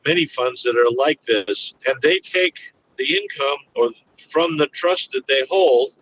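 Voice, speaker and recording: A plain-spoken man answering interview questions in a calm way. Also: medium pitch, measured pace, far from the mic, highs cut off, a little hiss or hum. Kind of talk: recorded interview.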